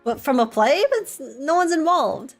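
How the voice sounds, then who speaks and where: A woman's voice: high-pitched, lively intonation, moderate pace, soft background music. A young woman chatting casually as she livestreams a game, with a giggly, slangy manner. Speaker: live streamer.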